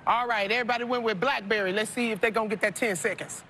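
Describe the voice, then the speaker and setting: A woman in her thirties hosting a TV game show, playful and quick with slang and jokes. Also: a little high. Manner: playful, lively, Southern-tinged slang like y'all. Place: large studio set of a supermarket. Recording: TV broadcast over loud music. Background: loud game show music.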